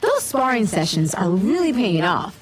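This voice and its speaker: A woman's voice: medium pitch, big pitch swings, moderate pace, slightly rough. A woman playing a spirited young armored superhero in an audio drama, with theatrical teammate banter. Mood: wry confidence.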